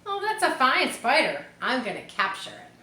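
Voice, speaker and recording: Woman playing a brash, excitable farm boy, bright-voiced. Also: sits high, moderate pace, reverberant, voice pushed up, full character voice. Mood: eager. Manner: shouting, boastful, eager. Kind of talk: children's storybook character reading.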